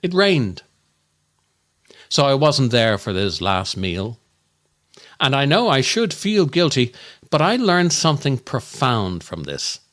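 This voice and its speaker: Slow, animated man telling dark comic tales. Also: mid-range voice. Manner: dramatic, deliberate, darkly comic delivery. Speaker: comedian and podcast host. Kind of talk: scripted comic monologue storytelling.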